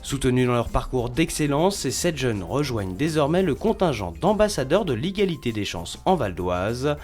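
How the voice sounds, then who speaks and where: A man narrating a regional news report in a measured, formal delivery. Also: mid-range voice, big pitch swings, darkish tone, soft background music.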